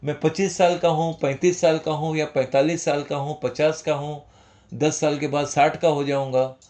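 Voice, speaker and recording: A man with a slightly high voice talks viewers through long-term investing. His manner is conversational, and he drops English finance terms into his sentences. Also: a little mellow. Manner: conversational, repeats "dosto", mixes English terms. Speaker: stock market educator. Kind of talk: informal finance talk to viewers.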